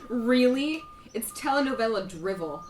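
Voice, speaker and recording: A woman's voice: on the high side, expressive, moderate pace, close to an everyday voice. A woman acting as a pedantic, prim fiction editor in a satirical radio play, dry, exacting and sarcastic. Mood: scornful. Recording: echoey room, clear background noise.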